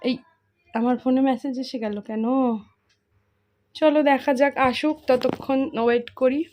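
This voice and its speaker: A woman's voice: sits high, fairly even intonation, a little slow, darkish tone, slight background noise. A young woman with a Bengali accent narrating a casual home vlog, chatting to viewers and family in a playful, teasing way. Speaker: family vlogger, mother.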